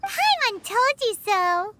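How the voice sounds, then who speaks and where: A woman voicing a tiny, excitable, food-loving female fairy companion in a video game, with a squeaky childlike voice. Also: takes it slowly, over music, full character voice. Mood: chatty. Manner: refers to self in third person, exclamatory. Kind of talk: English video game character acting.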